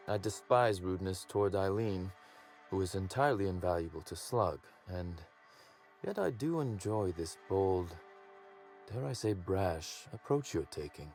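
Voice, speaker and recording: Suave menacing crime boss, game acting, lively intonation, wry, theatrical, faint music behind. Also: male voice, deep voice, full character voice. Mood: intrigued, amused. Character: suave, amused, menacing male crime boss in corporate employ.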